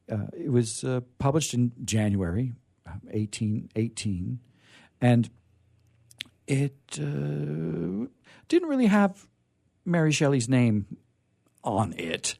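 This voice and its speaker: A man's voice: mid-range voice, very animated, slow pace, gravelly, long pauses, warm tone. A man hosting a radio theatre reading, introducing it off the cuff and searching for his words as he goes. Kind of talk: unscripted introduction to listeners.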